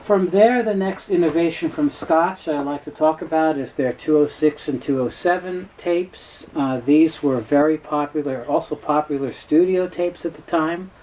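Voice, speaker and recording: A middle-aged man gives an informal, unscripted explanation as a presenter, pausing and correcting himself often. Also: fairly high pitch, a little mellow, rough recording. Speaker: presenter, tape specialist. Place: small cluttered indoor office. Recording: noticeable background noise, room ambience.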